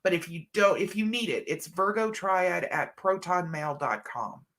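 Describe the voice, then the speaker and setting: Woman, middle-aged, livestream host, congested and scratchy, affectionate chatty delivery, bright voice. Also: fairly low pitch, unhurried. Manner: affectionate, chatty, calls viewers hun and honey. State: stuffed up and scratchy from allergies. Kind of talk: casual livestream chat reading comments.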